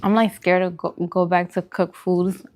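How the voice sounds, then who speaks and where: A woman with a somewhat low voice hosts a casual eating stream. She speaks informally and directly to her viewers, in a chatty, conversational way. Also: level tone, moderate pace, darkish tone. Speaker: mukbang vlogger and livestream host. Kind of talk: casual livestream chat while eating.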